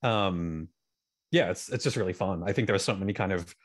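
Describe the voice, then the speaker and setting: A young man with a fairly low voice chats as a guest on a video call. He speaks casually into a studio microphone, halting as he works out his thoughts. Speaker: sound designer, panel guest. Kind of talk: casual conversational explanation. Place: indoor room on a video call. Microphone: studio microphone on a boom, headset.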